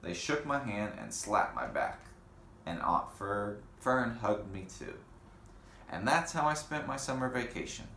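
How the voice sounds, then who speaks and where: A man reading a children's picture book aloud in an unhurried way, pausing for casual comments and questions. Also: on the low side, very animated, pushed and bright. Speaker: storybook reader. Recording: roomy echo with faint background noise.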